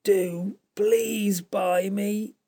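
A man voicing a small, sad magical creature that speaks softly, pleading and close to tears. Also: high-pitched, steady tone, extremely slow, pitched above the performer's own voice, exaggerated voice.

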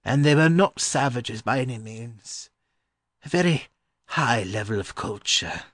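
A man playing a gentle, polite and weary learned gentleman in a dramatized children's audiobook, with a courteous, scholarly manner. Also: mid-range voice, lively intonation, unhurried, no room sound, studio quality. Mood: scholarly musing.

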